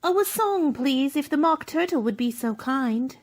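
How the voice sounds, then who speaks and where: A woman's voice: sits high, lively intonation, moderate pace. A woman playing a curious, polite and eager young girl in a radio play, with an inquisitive, wide-eyed manner.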